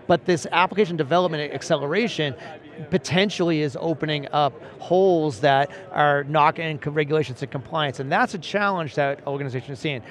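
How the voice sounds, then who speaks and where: A man's voice: on the high side, fairly quick. A man in his forties, a principal analyst, steadily explaining research findings in a seated conversational interview at a convention booth. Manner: steady explanatory, cites statistics, frequent "right?". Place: busy convention show floor booth.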